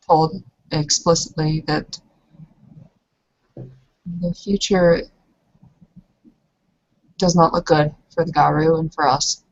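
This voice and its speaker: A woman playing a guarded young woman who defies her clan, speaking in a formal, measured way. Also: deep voice, dull audio.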